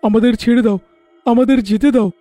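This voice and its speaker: A man's voice: very high voice, fairly even intonation, fairly quick, dark tone, faint music behind. A man voicing a worried, protective husband and father in a cartoon story, alarmed and scolding by turns. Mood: defiant. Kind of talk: cartoon voice acting.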